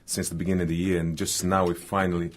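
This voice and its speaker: Very deep-voiced Brazilian football manager. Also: male voice, little pitch movement, fast talker. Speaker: football team manager, guest. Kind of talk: halting conversational radio interview.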